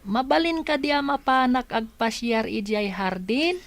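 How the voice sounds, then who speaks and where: A woman playing a polite, playful, chatty young boy in an audio drama, with slow, drawn-out delivery. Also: on the high side, faint music behind. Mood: polite, eager.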